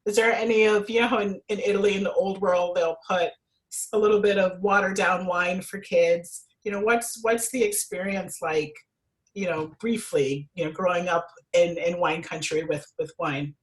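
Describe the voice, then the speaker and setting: Lower-voiced woman host, winding and friendly. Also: steady tone, moderate pace, low-quality recording. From Jamaica. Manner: friendly, winding questions with many asides. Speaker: sommelier host. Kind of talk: casual interview conversation.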